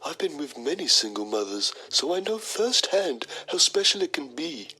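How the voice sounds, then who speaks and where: A woman's voice: low voice, big pitch swings, moderate pace, bright, forceful tone, breathy edge, faint music behind, exaggerated voice. A woman voicing a pompous, lecherous male journalist who narrates a mock documentary in a game with self-important drama. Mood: smug, leering.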